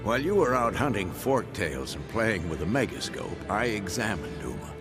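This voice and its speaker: An actor playing a stern, thoughtful old monster hunter in a video game, gruff and measured. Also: male voice, fairly low pitch, big pitch swings, over music. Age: older.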